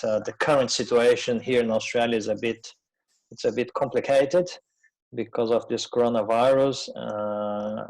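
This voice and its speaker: A middle-aged man from Brazil, a native Portuguese speaker with a Brazilian accent, gives an informal webinar presentation in a hesitant, conversational way with frequent pauses. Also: medium pitch, a little mellow, rough recording. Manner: hesitant, conversational, pausing often.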